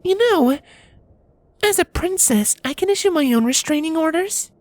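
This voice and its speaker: A woman's voice: very high-pitched, lively intonation, moderate pace, a little mellow, dry recording, voice pushed up. A woman voicing a sulky, remorseful young female pony princess with a hesitant, grudging manner in a storybook reading. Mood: frosty. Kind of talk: fan fiction storybook reading.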